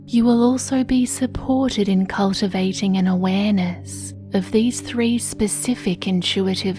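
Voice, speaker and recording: Slow, mellow woman guiding sleep meditation. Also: medium pitch. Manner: soothing, hushed, breathy delivery with long pauses. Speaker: meditation guide. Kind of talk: guided sleep meditation, scripted narration.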